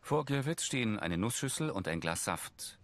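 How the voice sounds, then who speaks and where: A man's voice: fairly low pitch, very animated, normal speed, fairly bright tone, a little hiss or hum. A man reading scripted audio description of a film in a factual manner. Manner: factual, present-tense delivery. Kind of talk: scripted scene narration.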